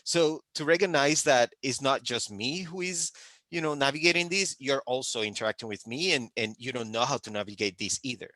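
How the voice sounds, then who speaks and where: A Puerto Rican man with a native Spanish speaker's accent shares advice and anecdotes on a panel. His voice is bright and forceful at a middling pitch, and the sound is very dry. He talks emphatically, circling back over phrases. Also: lively intonation, fairly quick, dry recording. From Puerto Rico.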